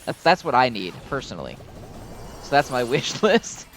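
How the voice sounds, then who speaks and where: A man's voice: medium pitch, sing-song, moderate pace, fairly bright tone, faint music behind. A man giving casual, unscripted gameplay commentary in a rambling, informal, self-deprecating way. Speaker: gaming video host.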